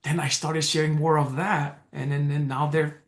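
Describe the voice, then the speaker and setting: Excited man. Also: fairly high pitch, normal speed. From American Samoa. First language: Tongan. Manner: excited, with many restarts and repeated words. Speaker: guest. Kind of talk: casual interview chat. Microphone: video call. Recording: echoey room on a call.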